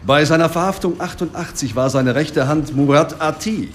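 An actor playing a police colleague reporting in a brisk, factual way in a radio drama. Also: male voice, a little high, a little hiss or hum, close to an everyday voice. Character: matter-of-fact police colleague reporting to his inspector. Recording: faint background ambience.

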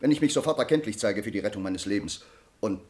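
A man's voice: lowish voice, animated, fast talker, close to an everyday voice. Man acting an earnest nobleman with sweeping delivery. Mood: pleading, urgent. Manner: formal, eloquent, earnest. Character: honor-bound young nobleman, grateful, earnest, passionately restrained. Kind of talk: German radio play acting of classic drama.

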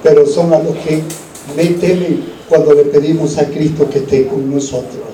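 A male preacher exhorts his congregation from some distance off the microphone, in a roomy, echoing space. His voice sits high for a man, and he drives the sermon with questions and repeated phrases. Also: fairly even intonation, moderate pace, warm tone. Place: large echoing room, church service.

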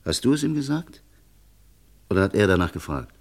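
A man's voice: fairly low pitch, sing-song, fairly quick, creaky, exaggerated voice. An actor playing a level-headed, insistent male friend giving stern advice in a vintage German radio crime play, firm and reasoning.

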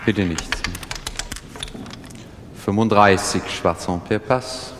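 Casino croupier, measured and clipped announcements, low pitch, echoey noisy hall, radio play. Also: male voice, steady tone. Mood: formal, detached. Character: roulette croupier calling bets in a casino. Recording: echoey hall, long reverb, noisy ambience.

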